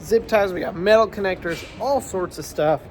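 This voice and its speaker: A man's voice: sits high, moderate pace, slight background noise. A congested man chatting to his handheld camera as he narrates a family errand run through a farm supply store. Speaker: family vlogger. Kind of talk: casual vlog chat.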